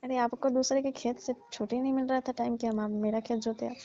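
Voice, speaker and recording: A woman's voice: fairly high pitch, steady tone, quick pace, warm tone. A woman chatting casually and explaining herself in a comic phone conversation. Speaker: caller playing a farm owner. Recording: dull lo-fi phone call recording.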